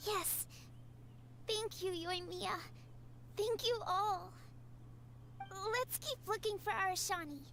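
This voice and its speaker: A woman's voice: extremely high pitch, slow, long pauses, a bit bright, a little hiss or hum, heavily acted. An eager young running girl. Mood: earnest. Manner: earnest, heartfelt. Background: game music.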